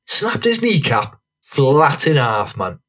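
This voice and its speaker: A scruffy, menacing ex-con played by a male storyteller rants and boasts in sweary slang. The voice is rough and husky, pushed higher than the reader's own, with slow, drawn-out delivery. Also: sing-song, fairly bright tone, exaggerated voice. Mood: gloating. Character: scruffy stumpy ex-con man, raging, boastful, menacing lout.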